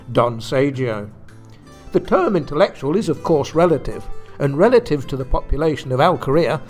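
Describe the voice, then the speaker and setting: Casting: male storyteller, highish and lively pitch, wry theatrical delivery, light guitar music behind. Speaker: narrator. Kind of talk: scripted comic narration, mock-grand.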